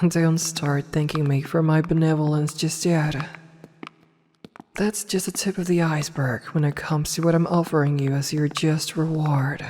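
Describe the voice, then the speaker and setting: A woman playing an imperious evil empress in a fantasy ASMR roleplay, with haughty, formal, measured speech and intimate delivery. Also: low-pitched, darkish tone, slightly rough. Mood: fond, inviting. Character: imperious evil empress, adult woman, softening toward her advisor. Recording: long reverberant hall, faint noise.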